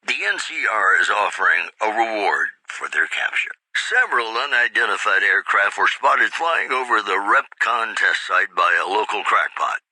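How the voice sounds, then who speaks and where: A suave game-world radio newsman with a low, very bright, forward voice. He reads bulletins like a retro lounge showman, lilting up and down in a close, dry sound. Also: expressive, moderate pace, hardly any pauses, no echo, full character voice, low-quality recording. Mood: smooth, newsy. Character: suave male radio DJ and newscaster in a post-apocalyptic game. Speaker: radio host and news announcer.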